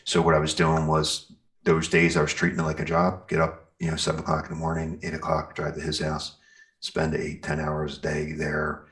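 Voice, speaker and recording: A man's voice: rumbling low, completely flat delivery, moderate pace, fairly bright tone. A man speaking casually as an interview guest, explaining things in a rambling, think-aloud way. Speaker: interview guest, metal band singer and guitarist.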